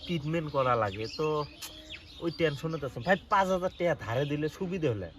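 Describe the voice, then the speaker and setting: Fast, pushy moocher buddy with northern Bengali dialect played by a man. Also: on the high side, lively intonation, fairly bright tone. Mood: pleading, scheming. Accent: northern Bengali regional dialect. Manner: insistent, chummy, fast-talking. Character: shameless, pushy young male moocher friend. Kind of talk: comedy sketch acting. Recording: faint outdoor background noise with birdsong.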